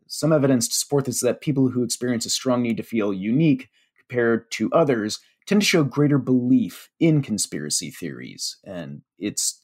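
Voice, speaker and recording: Husky chatty man with a Pennsylvania Dutch accent. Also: medium pitch. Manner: chatty, with fillers and self-deprecating jokes. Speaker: media relations director. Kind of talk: casual podcast chat.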